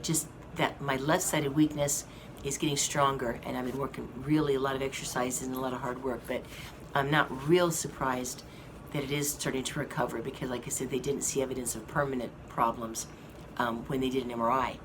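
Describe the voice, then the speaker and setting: A hoarse woman giving a slow, halting update to her viewers from a hospital room. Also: very deep voice, little pitch movement. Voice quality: slow, halting speech with many fillers. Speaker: vlogger giving a health update. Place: hospital rehab room with an air conditioning fan. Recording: noisy room with fan hum. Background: air conditioning fan.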